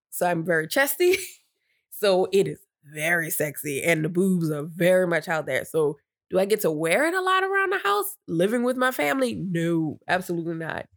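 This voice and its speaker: A woman's voice: fairly low pitch, no room sound, clean studio sound. Chatty woman with a stuffy nose. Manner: chatty, downplays excitement, rambling with restarts. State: stuffy nose, catching her breath. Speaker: podcast co-host. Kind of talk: casual storytelling chat.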